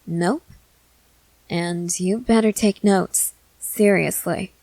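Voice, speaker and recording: A woman's voice: fairly low pitch, steady tone, takes it slowly, darkish tone, no room sound, rough recording. A woman playing a confident, experienced vampire who coaxes and reassures in a matter-of-fact way, in an ASMR roleplay. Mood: matter-of-fact, teasing. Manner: matter-of-fact, coaxing, assured.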